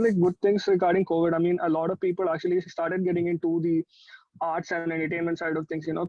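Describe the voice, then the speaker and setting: High-pitched young Indian man, lo-fi sound. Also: a little mellow, dry recording. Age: 20s. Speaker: musician and sound engineer interviewed as a guest. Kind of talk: casual interview chat. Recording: dry, dull lo-fi call sound.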